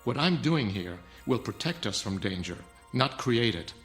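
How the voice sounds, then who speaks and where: An actor playing a stern, arrogant scientist in a film drama, lecturing curtly and defensively. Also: male voice, medium pitch, sing-song, measured pace, faint music behind. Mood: firm, explaining. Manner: haughty, lecturing, curt. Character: stern arrogant male scientist, defensive, guarding secret nanotech.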